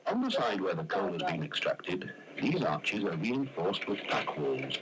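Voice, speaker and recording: Low-voiced narrator, noisy old film soundtrack. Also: male voice, sing-song. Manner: measured, explanatory. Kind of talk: 1970s instructional film narration, scripted.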